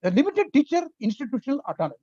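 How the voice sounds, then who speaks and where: Older indian-accented speaker, tamil first language, walks through points one by one, mellow and pitch jumping around a lot. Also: big pitch swings, very fast, no room sound. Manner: earnest, explanatory, lists points one by one. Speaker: invited speaker on education policy. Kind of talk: webinar lecture. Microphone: video call. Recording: dry, slightly muffled webinar audio.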